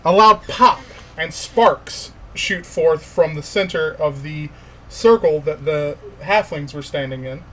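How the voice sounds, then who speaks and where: Guy game master, kinda high voice, narrating fantasy stuff casually w pauses, slight background noise. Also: rough recording.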